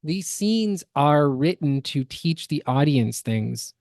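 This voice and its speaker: A man's voice: fairly high pitch, animated, takes it slowly, creaky, darkish tone, no room sound, studio quality. A man co-hosting a podcast, giving analytical, opinionated reaction commentary. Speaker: podcast co-host.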